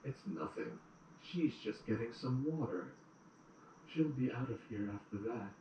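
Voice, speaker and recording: A man's voice: mid-range voice, animated, measured pace, warm tone, very echoey, distant mic, lo-fi sound, a little hiss or hum, close to an everyday voice. A man playing a condescending, falsely polite changeling in a fan audio drama. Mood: dismissive. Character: smug, mocking male changeling colony dweller.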